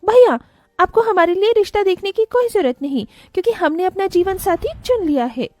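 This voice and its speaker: A woman's voice: very high-pitched, lively intonation, normal speed, darkish tone, dry recording, clean studio sound, faint music behind. A woman voicing a haughty, snobbish young sister in a cartoon moral story, speaking in a scornful, scolding way. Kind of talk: animated moral-story acting.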